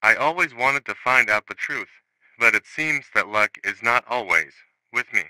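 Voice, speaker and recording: A man playing a grim retired investigator, reciting a horror case report in a flat, formal manner. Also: medium pitch, normal speed, no room sound, rough recording. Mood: grim, detached. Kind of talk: scripted narration, analog horror case report.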